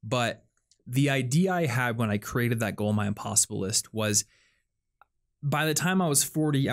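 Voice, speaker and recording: A young man, hosting a casual podcast chat and explaining ideas with plenty of fillers. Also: mid-range voice. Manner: chatty, explains things with lots of "like" and "um". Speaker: podcast host.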